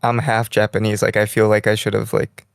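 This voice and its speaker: A young man co-hosting a podcast in casual chat, rambling in a relaxed way and saying "like" constantly, lying in bed in a small bedroom. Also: fairly low pitch, brisk, creaky, clear, resonant tone, dry recording, studio quality. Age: young adult. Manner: relaxed, rambling, heavy use of "like". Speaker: podcast co-host.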